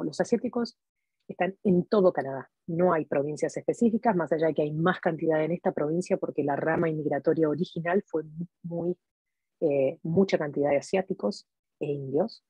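A woman in her forties with an Argentine Spanish accent, hoarse from talking all day, chatty and digressive as she explains language exams to viewers from her home. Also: on the low side, warm tone, dry recording, rough recording. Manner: chatty, digressive, frequent "¿sí?" check-ins. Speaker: immigration and language-test advisor. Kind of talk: casual online lesson. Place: home room, indoors. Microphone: webcam video recording.

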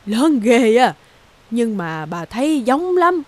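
Give me a high-voiced, coaxing old grandmother. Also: big pitch swings, slow pace, darkish tone. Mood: teasing, storytelling. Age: older. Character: caring, chiding, teasing old grandmother. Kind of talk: cartoon dubbing.

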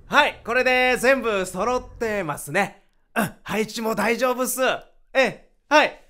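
A man's voice: very high voice, unhurried, pushed and bright, heavily acted. A man acting in a radio drama as an upbeat, chatty vice president of a new startup. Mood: excited, cheerful. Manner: exuberant, chatty, swinging from cheers to concern.

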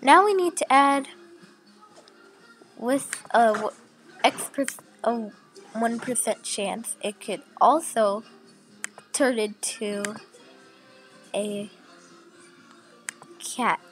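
A woman's voice: on the high side, very slow, long pauses, fairly bright tone, soft background music, low-quality recording. A young woman who is sick and sounds a little off, chatting casually with many fillers as she walks through a screen recording. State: sick, voice a bit off. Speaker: channel host.

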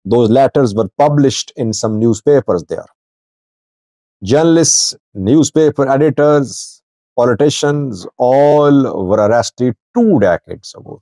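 Middle aged guy doing news commentary, kinda mellow voice, talks in choppy halting bits, dry room sound. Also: medium pitch, dry recording, rough recording. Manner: halting delivery in short broken phrases. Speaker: news commentator, channel host. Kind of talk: informal political news commentary to camera. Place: small indoor office with wood-panelled wall. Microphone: small clip-on lavalier at chest.

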